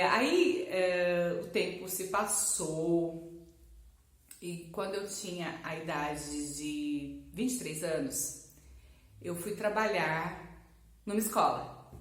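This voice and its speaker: The voice of a Brazilian woman vlogger sharing her own story with followers from a bare, echoing room, chatty and expressive. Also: fairly low pitch. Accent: Brazilian Portuguese. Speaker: language teacher and vlogger. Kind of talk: casual personal storytelling to camera. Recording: echoey, roomy sound.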